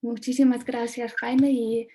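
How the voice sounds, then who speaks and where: A woman moderating an online lecture and translating, measured and slightly hesitant. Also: on the high side, level tone, dark tone, slight background noise. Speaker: interpreter and moderator.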